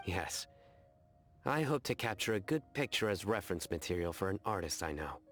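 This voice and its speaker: A man voicing a stern but courteous traveller, formal and deliberate, in a video game. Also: low-pitched, sing-song, fairly bright tone, faint music behind. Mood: formal, composed. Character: stern, courteous male traveller, solemn and watchful. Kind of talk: scripted game voice acting.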